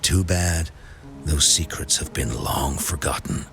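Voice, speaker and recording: An actor playing a theatrical storyteller in a video game, gathering listeners with a dramatic, hushed, teasing delivery. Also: male voice, extremely low pitch, measured pace, gravelly, over music, exaggerated voice. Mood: mysterious. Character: theatrical male storyteller drawing in a crowd. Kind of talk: game voice acting.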